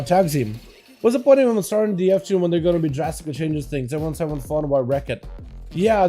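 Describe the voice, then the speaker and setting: A man with a slight Russian accent, streaming and calling game duels with excited play-by-play, then chatting loosely with his viewers. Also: high voice. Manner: fast, excitable play-by-play that turns to loose rambling chat. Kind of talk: excited live game commentary mixed with casual chat.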